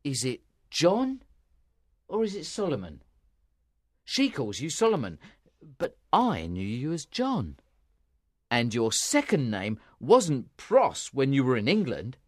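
An actor playing a rough, suspicious working man who questions in an emphatic, probing way in an audiobook drama. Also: on the high side, huge pitch swings, unhurried, dry recording, clean studio sound.

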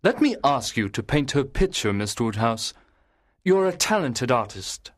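An actor playing an eager, flattering gentleman suitor in a storybook drama, effusive and courteous. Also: mid-range voice, moderate pace, close to an everyday voice. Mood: gallant, admiring.

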